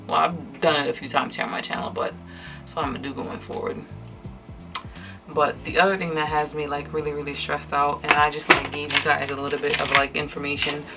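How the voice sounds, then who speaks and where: A young woman from Trinidad and Tobago talks to her camera at home in a low voice, a light Trinidadian lilt under her words. She sounds tired but candid, her talk full of fillers and restarts, while clear music plays behind her. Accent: Trinidadian English, lightly. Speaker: vlogger. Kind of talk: casual chat to camera while doing makeup. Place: indoors at home, night.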